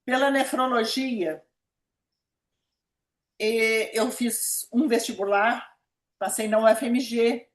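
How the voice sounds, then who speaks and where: A woman's voice: a little high, fairly even intonation, takes it slowly, pauses often. A woman of about seventy with a Brazilian Minas Gerais accent, recalling her life in a conversational interview and repeating words for emphasis. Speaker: interviewee, pediatric nephrologist. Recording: echoey room sound.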